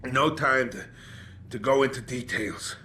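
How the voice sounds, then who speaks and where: A man playing a wounded, bitter investigator in a video game drama, halting and sardonic as he struggles through pain. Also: mid-range voice, sharp tone, a little hiss or hum. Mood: desperate, pained. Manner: halting, sardonic, repeating himself. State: in pain, slurring and faltering. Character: gravely wounded, bitter, foul-mouthed older investigator on morphine.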